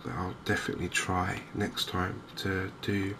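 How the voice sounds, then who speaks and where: A man giving softly spoken ASMR commentary on a game, hushed, hesitant and unhurried, close to the microphone. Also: low voice, monotone. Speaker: gaming video host. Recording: clear background noise.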